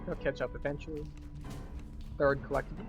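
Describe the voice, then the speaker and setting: A man's voice: fairly high pitch, level tone, a little fast, dull audio, music in the background. A man giving a casual walkthrough commentary as he plays a video game. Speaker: gameplay guide and streamer.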